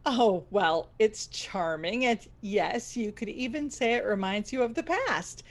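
An actress playing a desperate, bossy radio station owner in an audio comedy, theatrical and commanding. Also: female voice, medium pitch, lively intonation, measured pace. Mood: anxious welcome. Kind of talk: comedy audio drama acting.

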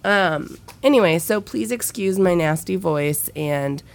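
Young woman, podcast host, head cold, nasal, low gravelly voice, blunt and profane. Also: expressive, a little slow. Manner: frank and profane, with many fillers. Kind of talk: candid solo podcast chat.

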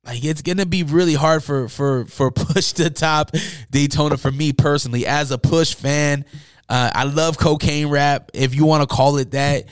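Man, casual podcast host, enthusiastic, slangy, voice tired from talking all day, smooth tone, dry sound. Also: on the high side, steady tone, moderate pace, no room sound. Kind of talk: casual music talk podcast. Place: indoors, upstairs room.

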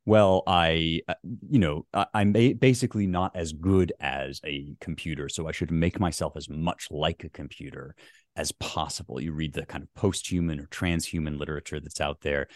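Very deep-voiced man, reflective author. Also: fairly even intonation, no room sound, clean studio sound. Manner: thoughtful, halting, many fillers. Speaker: author and guest. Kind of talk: academic interview talk.

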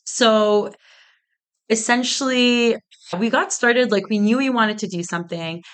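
Lowish-voiced young woman, casual storyteller. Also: fairly bright tone. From Egypt. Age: around 30. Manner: informal, peppered with "like" and quoted asides. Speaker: interview guest, business founder.

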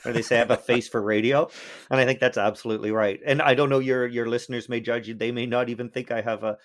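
A man's voice: mid-range voice, steady tone, quick pace. A man, a history professor, answering interview questions in a thoughtful way with frequent restarts.

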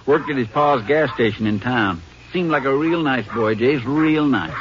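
An actor in a 1950s radio drama playing a folksy small-town Texas sheriff who talks in an easy drawl. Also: male voice, medium pitch, moderate pace, lightly acted. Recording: dull old radio recording with hiss.

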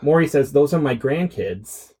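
A male podcast co-host with a mellow, slightly husky voice talks casually about film. His pitch moves around a lot, and he works out his thoughts aloud, repeating words as he goes. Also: lively intonation, normal speed.

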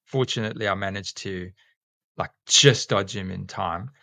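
Gravelly South African man in his forties. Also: deep voice, moderate pace, over loud music. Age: 40s. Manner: rambling, many ums and restarts. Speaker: guest, school teacher and snake catcher. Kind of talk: casual podcast interview.

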